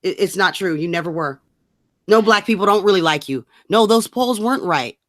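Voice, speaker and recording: A woman's voice: mid-range voice, expressive, fairly quick, fairly bright tone. Casting: woman co-host, exasperated and slangy, swears freely in loose, chatty rants. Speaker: co-host of political rant show. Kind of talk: casual chat, profane political ranting.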